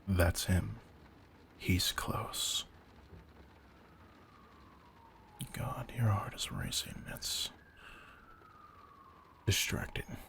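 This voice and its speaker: Gravelly, very deep man acting as veteran vampire hunter. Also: slight background noise. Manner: low, deliberate, commanding, often dropping to breathy murmurs. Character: veteran male vampire hunter, intense, commanding, secretly predatory. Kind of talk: slow-burn roleplay audio drama addressed to the listener.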